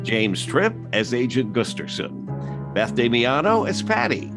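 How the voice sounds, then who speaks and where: A man's voice: mid-range voice, very animated, unhurried. A man reading scripted credits as the announcer. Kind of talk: scripted narration. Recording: music behind the voice.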